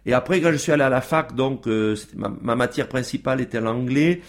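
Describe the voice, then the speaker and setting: A man's voice: mid-range voice, a little fast. A man as a guest on a radio show, talking casually with many fillers and restarts.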